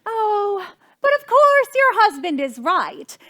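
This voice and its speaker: A woman's voice: extremely high pitch, big pitch swings, slow pace, fairly bright tone, full character voice. An actress playing a fussy, imperious society mother and hostess in a stage comedy, haughty, exasperated and theatrical. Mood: sweetly sympathetic.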